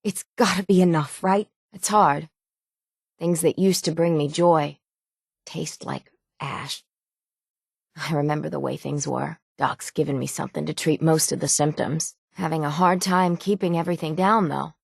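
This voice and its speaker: A woman's voice: low-pitched, steady tone, moderate pace, gravelly, husky, dry recording, clean studio sound, heavily acted. An actress playing a stoic, dying island sailor woman in a video game, plainspoken and folksy, weary but stubborn. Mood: weary, resigned. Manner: plainspoken, folksy rural islander speech, resigned yet stubborn. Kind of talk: dramatic video game dialogue.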